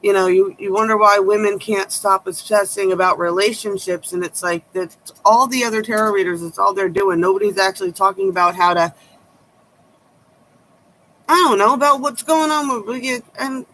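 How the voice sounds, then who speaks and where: A woman tarot reader with a low voice, giving blunt, sharp-tongued advice in a casual chat to her viewers. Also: normal speed, a little hiss or hum, rough recording.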